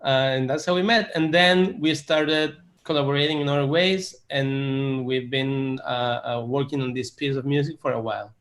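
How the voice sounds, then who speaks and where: Halting man, searching for words. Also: mid-range voice, a bit bright. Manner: hesitant, many fillers, searching for words. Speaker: composer, guest. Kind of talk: casual interview talk.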